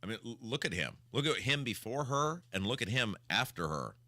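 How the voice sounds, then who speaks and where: A man's voice: mid-range voice, very animated, a little fast, bright, forceful tone, dry recording. A man hosting a radio talk show, giving opinionated banter in an emphatic, exasperated, repetitive ranting style.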